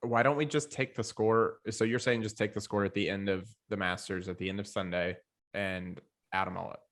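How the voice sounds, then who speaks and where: A man with a somewhat low-pitched voice chats as a podcast co-host. His delivery is informal and conversational, in the manner of banter among friends. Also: steady tone, fairly quick, fairly bright tone. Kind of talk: casual group banter.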